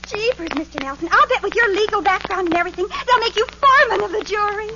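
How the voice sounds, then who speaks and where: A woman's voice: very high-pitched, expressive, fast. An American woman playing a starry-eyed, dramatic teenage girl in an old radio comedy, with breathless, theatrical enthusiasm. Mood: gushing. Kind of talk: old-time American radio sitcom acting. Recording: dull, very noisy old radio recording.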